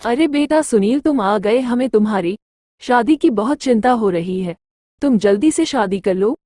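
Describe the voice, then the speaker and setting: Woman playing a fond, mellow-toned mother. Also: on the high side, expressive, moderate pace, dry recording. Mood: fond, worried. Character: anxious, fond mother of grown sons. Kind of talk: acted dialogue in a moral story cartoon.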